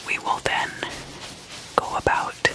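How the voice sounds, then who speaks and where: A person whispering a guided meditation in a breathy, unvoiced voice, giving instructions in halting, spaced-out phrases. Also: bright, forceful tone.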